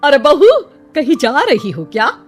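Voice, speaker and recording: Woman acting a loud haughty elderly Indian-style mother-in-law. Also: high-pitched, huge pitch swings, a little slow, faint music behind, voice pushed up, exaggerated voice. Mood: boastful, pushy. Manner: loud, emphatic, showy.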